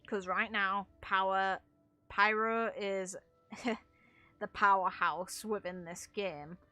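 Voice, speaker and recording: A woman's voice: mid-range voice, fairly even intonation, slow pace, pushed and bright, no room sound, soft background music. A woman giving casual, opinionated game commentary, speaking bluntly and informally as a video creator. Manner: blunt, informal, mild swearing. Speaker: gaming video creator and streamer.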